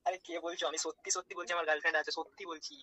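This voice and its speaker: A man's voice: a little high, little pitch movement, racing, bright, forceful tone. A young man talking bluntly and informally on a phone call. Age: young adult. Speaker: prank call target.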